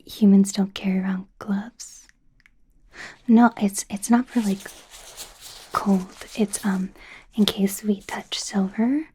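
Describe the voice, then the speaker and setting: A woman playing an eager, friendly, slightly awkward female werewolf doctor in an ASMR medical exam roleplay, speaking in a soft breathy whisper with a hesitant, rambling manner. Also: medium pitch, steady tone, slow pace, long pauses, a little hiss or hum, lightly acted. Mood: earnest explaining.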